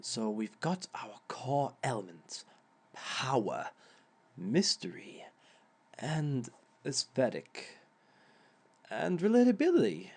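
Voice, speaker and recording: A man's voice: mid-range voice, big pitch swings, slow pace, gravelly, pauses often, breathy edge, heavily acted. A man voicing a sly, theatrical male villain who lectures on dating in an audio roleplay, with chuckling asides. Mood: smug. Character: smug male villain giving a dating seminar.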